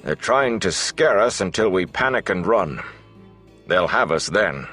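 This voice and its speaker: A growling male traveller as a man reads him out, low in pitch and edged with anger and fear. The setting is a fantasy audiobook, with faint music underneath. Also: expressive, measured pace, bright, forceful tone, exaggerated voice. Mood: angry, uneasy. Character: growling, uneasy grown man, half angry, half afraid.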